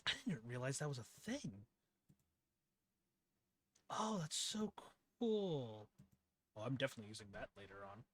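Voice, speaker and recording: A man's voice: medium pitch, big pitch swings, moderate pace, pauses often, no room sound. A man running a tabletop game as game master, chatting casually and laughing often between his words. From Philippines heritage.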